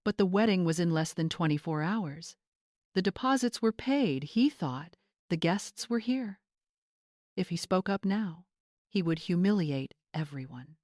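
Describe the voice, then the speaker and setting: Woman doing dramatic audiobook narration, lowish voice, dry close recording. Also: animated, unhurried, darkish tone, no room sound. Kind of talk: dramatic scripted story narration.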